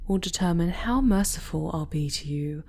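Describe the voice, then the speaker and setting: A woman voicing a sadistic, seductive dark elf noblewoman in an ASMR roleplay, mostly in a breathy, deliberate, imperious whisper close to the microphone. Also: lowish voice, lively intonation. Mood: fascinated, teasing. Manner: slow, deliberate, imperious and teasing.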